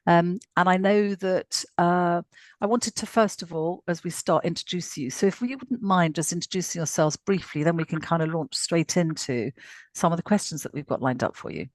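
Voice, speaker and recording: A woman hosting a webinar panel, chatty and warm in tone with repeated words and fillers. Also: lowish voice, moderate pace, no room sound. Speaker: webinar host. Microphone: video call.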